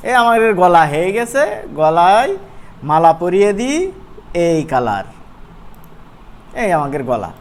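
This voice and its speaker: Over a noisy recording, an instructor with a regional Bangladeshi Bengali accent runs an informal software tutorial. The pitch moves around in a lively way. The talk is conversational, with frequent checking questions to the learners. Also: measured pace, pauses often. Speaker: animation course instructor.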